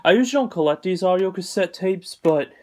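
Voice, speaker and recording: Halting, higher-pitched man showing his collection. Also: expressive. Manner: repetitive, halting, matter-of-fact. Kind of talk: casual unscripted collection update.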